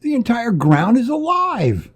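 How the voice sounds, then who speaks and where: A man's voice: very high-pitched, wildly swooping pitch, a little slow, breathy edge, voice pushed up, exaggerated voice. A man voicing an anxious, hesitant spaceship crewman who stammers in an adventure story. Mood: alarmed.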